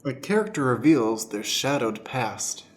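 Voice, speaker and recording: A man's voice: mid-range voice, lively intonation, unhurried, faint music behind. A man narrating stage directions as the stage manager of an improvised radio play.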